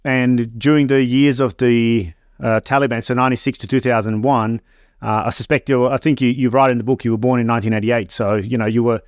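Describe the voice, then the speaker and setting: A man hosting an interview podcast, reading an introduction and then asking questions in a measured way, often restarting sentences. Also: mid-range voice, fairly even intonation, no echo, studio quality. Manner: measured, stumbling restarts when unscripted. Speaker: podcast host.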